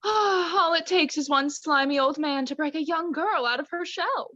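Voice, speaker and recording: Young woman as a high, sugary, doting mother. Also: moderate pace, sharp tone, no room sound. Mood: proud. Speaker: student actor in radio play. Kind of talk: radio play acting. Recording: dry, slightly dull home recording.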